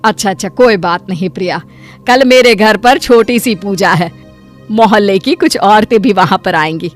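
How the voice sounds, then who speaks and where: A woman voicing a kindly middle-aged auntie in a cartoon moral story, advising in a gentle, motherly way. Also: medium pitch, moderate pace, soft background music, lightly acted.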